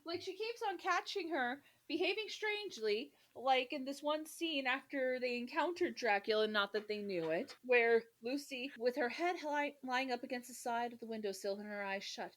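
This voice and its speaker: A woman's voice: sits high, normal speed, few pauses, a bit bright. A woman co-hosting a podcast, chatting casually as a fan, with theatrical mock indignation and playful sarcasm. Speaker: podcast co-host. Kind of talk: casual fan chat about a classic novel.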